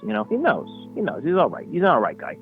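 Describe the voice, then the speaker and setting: A man's voice: a little high, huge pitch swings, fast, soft background music. A man voicing a brash, menacing Sicilian mobster on a call-in line, talking tough and saying "listen" a lot. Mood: boastful. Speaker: caller. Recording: muffled phone-line call.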